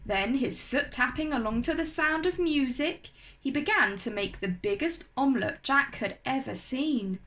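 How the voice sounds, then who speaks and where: A woman reading a children's storybook aloud as narrator, with expressive, deliberate delivery. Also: high voice.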